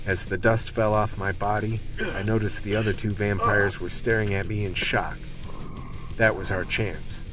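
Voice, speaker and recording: A man acting as a tough action hero telling his story in a radio play. His voice is low and the delivery is gritty and plainspoken, like someone recounting a fight he survived. Music runs underneath the narration. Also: flat delivery, normal speed, far from the mic, natural voice.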